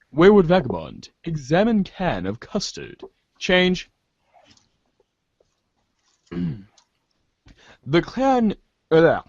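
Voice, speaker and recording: A man's voice: a little high, a little mellow, no room sound, dull audio. A man giving an amateur dramatic reading of narration, theatrical in delivery and now and then stumbling over the text. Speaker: narrator reading a webcomic aloud.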